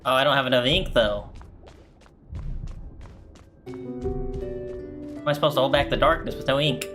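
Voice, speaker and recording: A man's voice: medium pitch, a little slow, over music. A man doing casual gameplay commentary, reacting in short muttered asides. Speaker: gamer commentator. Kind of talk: casual let's-play commentary.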